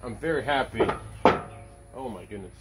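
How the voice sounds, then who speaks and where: A man's voice: medium pitch, animated, moderate pace, loud music behind the voice. A middle-aged man chatting casually and informally, thinking aloud as he narrates hands-on work at an open-air sawmill. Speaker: sawmill operator and vlogger. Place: outdoors at an open-sided sawmill shed and yard. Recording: noisy outdoor ambience, vehicle sounds.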